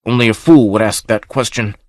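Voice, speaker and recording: A battered barbarian warrior, voiced by a male narrator, rasping and panting yet defiant. The sound is dry and close with no echo, fitting a storybook reading. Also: lowish voice, brisk, lightly acted.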